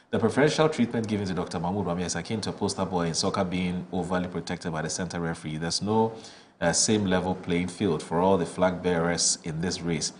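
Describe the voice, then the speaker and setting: A very low-pitched man with a Ghanaian English accent reads viewer texts aloud on a studio morning show. He stumbles and restarts between brisk read-out passages, with a faint hum of room ambience behind him. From northern Ghana. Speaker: morning show host reading viewer messages. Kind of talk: reading listener texts on air, chatty asides. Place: television studio.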